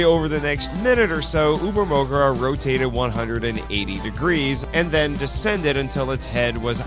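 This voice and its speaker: Expressive man narrating an adventure story. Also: on the high side. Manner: theatrical, expressive. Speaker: podcast host narrating an audio story. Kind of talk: first-person scripted story narration with acted dialogue.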